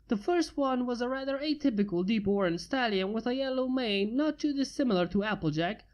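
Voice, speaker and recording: A woman narrating fanfiction in a plain, steady amateur reading. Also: on the high side, a little fast. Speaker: fanfiction reader.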